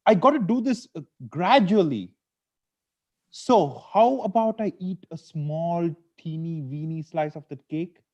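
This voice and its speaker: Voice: medium pitch, a little slow, a little mellow, muffled recording, close to an everyday voice. A performer in an amateur table read playing a stressed, sweet-toothed lawyer husband who bickers, wheedles and pleads like he is in court. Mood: craving, pleading. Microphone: video call.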